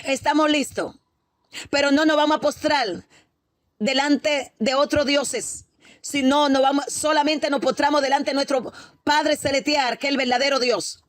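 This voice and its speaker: Woman, high voice, fervent sermon storytelling, repeated praise interjections, dry close sound. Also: steady tone, measured pace, dry recording. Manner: fervent, repetitive, exclaiming praise between phrases. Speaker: preacher recounting a prophetic vision. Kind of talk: Pentecostal testimony sermon, storytelling with interjected hallelujahs.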